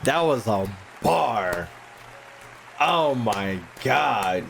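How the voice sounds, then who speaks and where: A young man doing casual, slangy reactions while playing a video game, breaking into loud laughter. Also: mid-range voice, expressive, very slow, gravelly, faint music behind, a faint crowd. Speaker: gaming streamer. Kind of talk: casual let's-play commentary.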